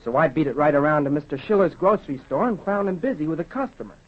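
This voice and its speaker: A man's voice: on the high side, lively intonation, fast talker. An American male actor playing an earnest, polite boy telling his adventure in a 1930s radio drama. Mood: chatty, earnest. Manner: polite, eager. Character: earnest, eager boy storyteller Jimmy. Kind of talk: 1930s radio acting, narrating. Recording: dull, muffled 1930s radio recording.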